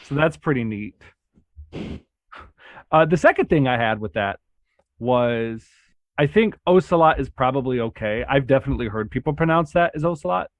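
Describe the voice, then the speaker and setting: Man, casual podcast host, relaxed talk, dry close recording. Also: moderate pace, long pauses, no echo. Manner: relaxed, lots of "like" fillers, rises in pitch when excited. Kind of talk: casual chat.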